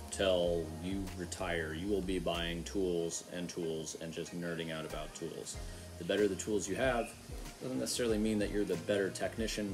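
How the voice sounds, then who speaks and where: A young adult man hosting a casual instructional video, talking to the camera about his trade. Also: low-pitched. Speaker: host, HVAC technician. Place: outdoor covered patio by a garden. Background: background music.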